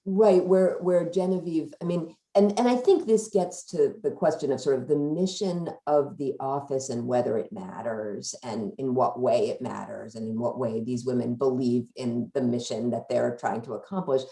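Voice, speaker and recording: A woman with a low, gravelly voice talks through a book analytically. Her tone is mellow and her sentences loop and hedge as she thinks. The sound is roomy and echoing. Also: steady tone, moderate pace, few pauses. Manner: hedging, circling sentences with frequent "sort of". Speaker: discussion leader at a book talk. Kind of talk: thoughtful analytical book discussion.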